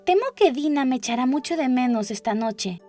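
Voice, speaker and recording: A woman's voice: high voice, lively intonation, a little fast, a little mellow, faint music behind, voice pushed up. A woman voicing a curious, dreamy young girl who chatters and muses to herself in a storybook. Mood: wistful. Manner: musing aloud, questioning, wordy. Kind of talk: storybook character voice.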